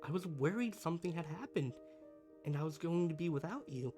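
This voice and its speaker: A man playing a needy but kind-hearted supervillain in a roleplay ASMR monologue, speaking to the listener in a dramatic, earnest, halting way. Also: a little high, lively intonation, mellow, natural voice. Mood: hurt, worried. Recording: music behind the voice. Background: background music.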